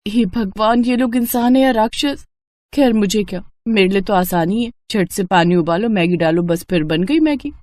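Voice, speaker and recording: A woman voicing a young daughter-in-law, polite but exasperated underneath, in an animated family story. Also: mid-range voice, normal speed, no echo, natural voice. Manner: polite aloud, grumbling inwardly.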